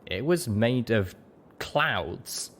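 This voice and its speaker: A man's voice: medium pitch, animated, extremely slow, fairly bright tone. A man reading scripted first-person story narration as an audiobook narrator.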